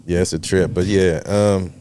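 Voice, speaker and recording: A man's voice: sits low, fairly even intonation, normal speed, warm tone, a little hiss or hum. A man in his fifties co-hosting a podcast from Los Angeles, chatting casually in laid-back slang and laughing often. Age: 50s. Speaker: podcast co-host. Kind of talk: casual chat.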